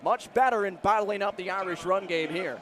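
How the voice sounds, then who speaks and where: An American man calling live football play-by-play on the radio, describing each move and building to excited calls. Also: sits high, fairly quick, crowd noise. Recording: clear stadium noise behind broadcast commentary. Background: outdoor stadium ambience.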